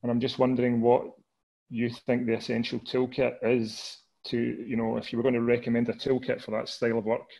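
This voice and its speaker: Scottish man, Glasgow accent, monotone, earnest caller on a video meeting. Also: medium pitch, vocal fry, rough recording. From Scotland. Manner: earnest, measured, many filler pauses. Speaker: audience member asking a question. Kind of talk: video call question. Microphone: headset on a video call.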